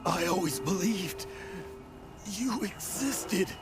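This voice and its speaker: An actor playing a weary, guilt-ridden old male adventurer in a video game, with an emotional, halting manner. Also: sits high. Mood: overwhelmed, grateful. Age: older. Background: game music.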